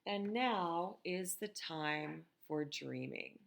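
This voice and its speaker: An American woman reading a picture book aloud to children in a gentle, expressive teacher's manner, with conversational asides. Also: fairly low pitch, takes it slowly, vocal fry. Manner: gentle, expressive, asks listeners questions.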